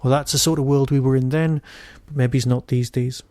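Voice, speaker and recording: A man hosting a podcast, giving an informal solo review in a wry, digressive way with emphatic pauses. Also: medium pitch, steady tone, a little mellow, no room sound.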